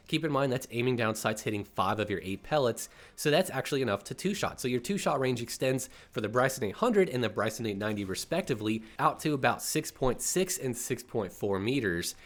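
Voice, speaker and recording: Congested man giving casual gaming commentary. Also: mid-range voice. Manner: upbeat, chatty, explanatory. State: congested, clearing his throat. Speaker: gaming YouTuber host.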